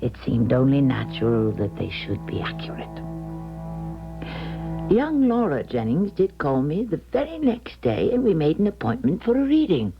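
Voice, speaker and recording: An actress playing a grand, weary older clairvoyant in a radio drama, speaking in a measured, solemn, theatrical way. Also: female voice, low voice, wildly swooping pitch, full character voice. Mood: reflective. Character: grand, weary clairvoyant woman recalling her gift. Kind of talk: 1970s radio drama acting and narration. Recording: muffled 1970s radio broadcast, music behind.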